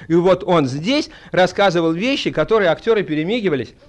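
A man speaking to an audience in an anecdotal, theatrical way, with halting, digressive phrasing. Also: high-pitched, expressive. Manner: theatrical storytelling, acting out dialogue. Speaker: theatre colleague giving a reminiscence.

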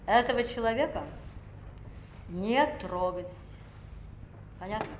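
An actress playing a stern woman commissar in a 1960s film, calm, probing and commanding. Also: medium pitch, slow pace, slight background noise, close to an everyday voice. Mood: firm, probing. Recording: roomy old film soundtrack with music.

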